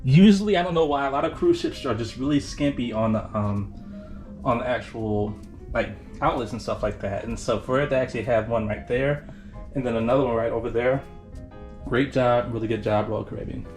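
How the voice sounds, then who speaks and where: A man's voice: medium pitch, fairly quick, darkish tone. Tired man vlogging over music. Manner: informal, folksy, addresses viewers as y'all. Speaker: travel vlogger and host. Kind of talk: casual cruise ship tour commentary.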